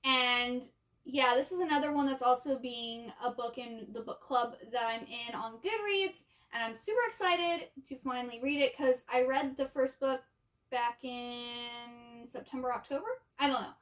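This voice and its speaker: Female book vlogger, high-pitched, casual conversational delivery, informal and chatty. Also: moderate pace, fairly bright tone. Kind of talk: casual chat to camera.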